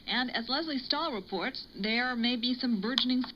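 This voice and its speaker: A woman reporter reading a network radio news bulletin in a formal, crisp manner. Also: on the high side, normal speed, lo-fi sound, slight background noise. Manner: crisp, formal newsreader delivery. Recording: old radio broadcast played through a speaker.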